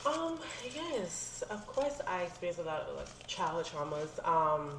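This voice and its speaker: A woman's voice: on the low side, big pitch swings, unhurried, a bit bright. An American woman in her thirties telling personal stories in a casual, conversational way full of slang. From United States. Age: 30s. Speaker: podcast guest. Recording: roomy echo, clear background noise.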